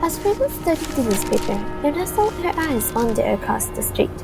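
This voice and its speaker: A woman's voice: high-pitched, big pitch swings, brisk, gravelly, over loud music, exaggerated voice. A woman playing the storytelling narrator of a radio drama, reading scripted narration. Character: storytelling narrator of a youth adventure.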